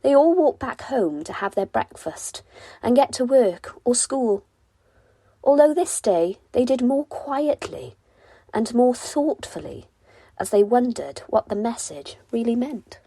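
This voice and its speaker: A woman narrating a children's bedtime story in an expressive, deliberate storytelling manner. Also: fairly high pitch, slightly rough, no room sound. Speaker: storybook reader.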